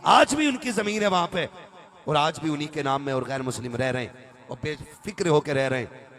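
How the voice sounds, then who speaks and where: A man's voice: a little high, animated, normal speed, a bit bright. Man preaching to a gathering. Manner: conversational, calls on listeners to respond aloud. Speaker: Islamic preacher. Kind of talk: religious sermon to a live gathering, with recited verse.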